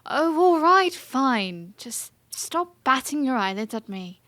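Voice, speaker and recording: A woman's voice: high-pitched, very animated, slow pace, bright, forceful tone, no echo, full character voice. A woman playing a proud, sulky young princess in a fantasy audio drama, with a formal and petulant way of talking. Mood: indignant, exasperated.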